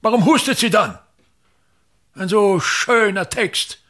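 An actor playing a pompous, grandiose older thespian patriarch in a radio drama, declaiming with gravelly, aggrieved emphasis. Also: male voice, sits high, slow, fairly bright tone. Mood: indignant, grandiose. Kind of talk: theatrical radio drama monologue.

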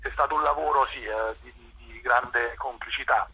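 A man answering as a theatre director in an informal radio interview, haltingly, often repeating words as he searches for the next one. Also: medium pitch, steady tone, vocal fry, a little hiss or hum. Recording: dull, band-limited radio or phone line.

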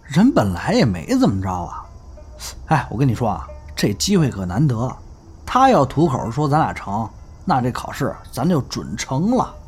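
Audio drama: easygoing young crosstalk lad, teasing, swooping intonation, music underneath. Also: male voice, mid-range voice, huge pitch swings, moderate pace, a little mellow. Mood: teasing, animated. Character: easygoing, chatty young male crosstalk performer, helpful friend. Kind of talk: Chinese audio drama acting.

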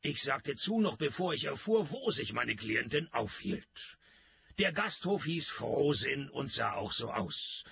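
Gravelly, deadpan private detective. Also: male voice, medium pitch, a little slow, slight background noise, full character voice. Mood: wry narrating. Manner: deadpan, ironic. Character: dry, sarcastic male private detective, unimpressed and quick-witted. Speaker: lead detective and narrator. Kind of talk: radio play dialogue and first-person narration.

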